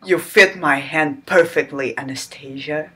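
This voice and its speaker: A woman putting on a hushed seductive man. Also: noisy background. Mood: murmuring. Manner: low hushed murmur, slow and breathy. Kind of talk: mock-dramatic reading of a romance novel.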